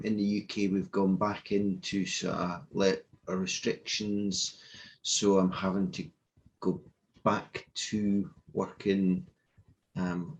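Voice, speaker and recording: A man introducing himself in a workshop, relaxed and hesitant with many ums. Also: deep voice, poor audio. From England, UK. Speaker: workshop participant. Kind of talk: casual self-introduction. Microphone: video call.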